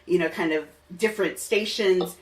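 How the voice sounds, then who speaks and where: A man's voice: sits high, moderate pace. Middle aged guy chatting as podcast host, wordy and musing, sounds echoey and back from the mic. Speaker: podcast host interviewing a musician. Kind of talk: casual conversational interview. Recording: roomy, distant mic, faint music.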